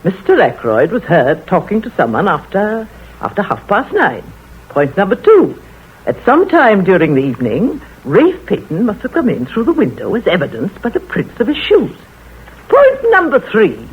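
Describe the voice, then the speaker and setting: An actress playing a nosy, know-it-all village spinster who talks brisk and bossy, in a 1940s radio play. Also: female voice, mid-range voice, slightly rough, full character voice. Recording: tinny old radio broadcast.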